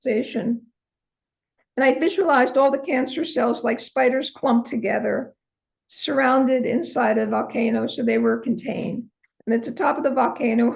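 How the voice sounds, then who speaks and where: Hoarse older lady, gravelly, kinda high, lots of ums, telling her story on a call. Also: low-quality recording. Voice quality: hoarse, from a vocal cord injury. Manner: earnest storytelling, many ums. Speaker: interview guest, holistic practitioner. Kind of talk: personal story in an interview.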